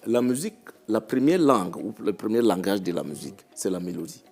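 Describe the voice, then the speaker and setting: A man's voice: lowish voice, sing-song, fairly quick, a little mellow, soft background music. A man from Cameroon, a musician guest on a panel talk show, speaking reflectively and repeating his phrases.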